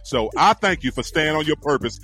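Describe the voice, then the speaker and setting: A male podcast host speaks quickly in loose, colloquial talk and keeps backing up his guest. Faint music runs under his voice. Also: a little high, expressive, bright, forceful tone. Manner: fast, affirming, colloquial. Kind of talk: casual interview talk.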